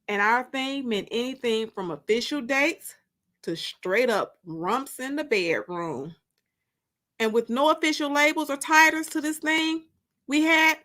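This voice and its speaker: High, bright, theatrical woman storyteller. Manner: expressive, theatrical. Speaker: author and host of live story time. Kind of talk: casual livestream chat and dramatic book narration.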